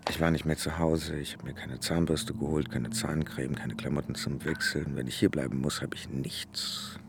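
An actor playing a stranded patient in a radio play, giving a plain, methodical, detailed account. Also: male voice, rumbling low, fairly even intonation, normal speed, gravelly, background noise. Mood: downcast, matter-of-fact. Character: stranded male patient listing what he lacks, plain and methodical.

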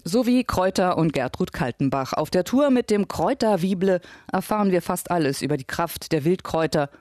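A man reading scripted documentary voice-over narration in a measured, even manner. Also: high-pitched, dry recording, clean studio sound.

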